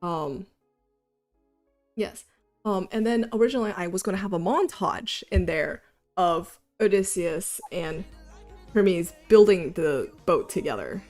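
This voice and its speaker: Lively, chatty woman animator. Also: unhurried, faint music behind. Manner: chatty and self-deprecating, lively pitch. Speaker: animator walking through her animatic. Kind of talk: casual livestream chat.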